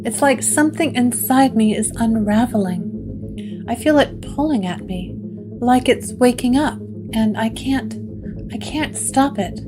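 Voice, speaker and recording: A woman's voice: a little high, measured pace, natural voice. A woman doing a fragile, haunted ancient woman. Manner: whispery, faltering, fragile. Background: guitar music.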